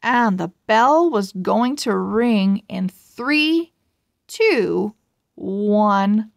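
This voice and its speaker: A woman reading a children's storybook aloud in an expressive, deliberate way. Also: medium pitch, creaky, no room sound, clean studio sound. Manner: expressive, deliberate word-by-word delivery. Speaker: storybook reader. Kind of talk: children's read-aloud narration.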